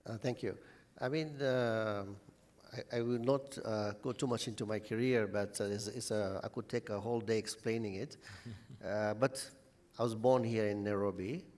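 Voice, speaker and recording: Man, middle-aged, from Tanzania, executive panelist, measured. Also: fairly low pitch, fairly even intonation, darkish tone. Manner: measured, reflective storytelling. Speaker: hotel chief executive. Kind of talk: panel answer. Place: conference hall.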